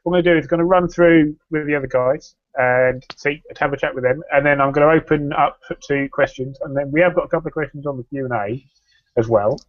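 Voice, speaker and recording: A man hosting a casual online chat, relaxed and chatty. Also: fairly high pitch, quick pace, dry recording. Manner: relaxed, chatty, a few restarts. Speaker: host. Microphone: online call. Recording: dull, dry lo-fi call audio.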